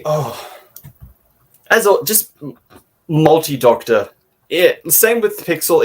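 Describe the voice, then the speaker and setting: A man co-hosting a fan discussion in a loose, casual way, haltingly circling back on his phrases. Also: medium pitch. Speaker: podcast co-host.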